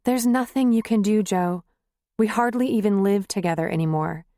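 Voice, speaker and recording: A tired, grieving wife who snaps into anger, as a woman reads her, her voice climbing high and strained. The delivery is slow and heavy. The sound is close and completely dry. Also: mellow, dry recording, close to an everyday voice. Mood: weary. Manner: raised, heated and strained. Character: weary, fed-up wife, grieving and angry. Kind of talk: audiobook character reading.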